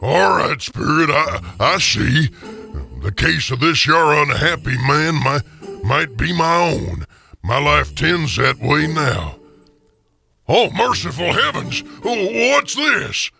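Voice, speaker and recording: A man playing a frightened old miser, halting and stammering as he pleads, in radio theater. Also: fairly high pitch, sing-song, sharp tone, soft background music, voice pushed up, full character voice. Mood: shaken. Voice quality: very gravelly, strained.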